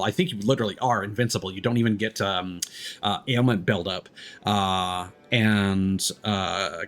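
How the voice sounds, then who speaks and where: A man's voice: medium pitch, fairly bright tone. A man giving casual gameplay commentary as a streamer, thinking aloud in a hesitant way as he explains. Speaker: video game streamer.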